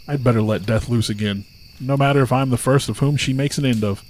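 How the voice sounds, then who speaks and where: A man's voice: mid-range voice, brisk, darkish tone, slight background noise, close to an everyday voice. A man voicing an old soldier in a folk tale, speaking plainly and resignedly. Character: weary old male soldier, sinner.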